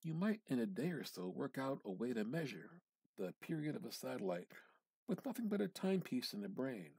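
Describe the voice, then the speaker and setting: A man giving a measured, theatrical reading of a literary short story, drawing out words and pausing for effect. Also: mid-range voice, very animated, vocal fry, mellow, husky, dry recording. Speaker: amateur reader practicing dramatic reading.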